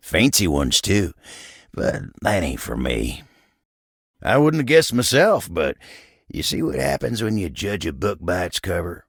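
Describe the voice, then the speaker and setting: An actor playing a folksy, humble, hardworking cowboy coffee table in a video game, with a gravelly American Southern drawl and an easygoing, genial manner. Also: male voice, sits low, sing-song, unhurried, dry recording, studio quality, exaggerated voice. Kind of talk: video game character dialogue.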